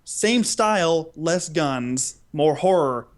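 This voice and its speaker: Rambling, higher-pitched man chatting. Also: slow pace. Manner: animated, rambling, acts out imagined dialogue. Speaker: podcast co-host. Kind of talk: casual humorous chat, storytelling.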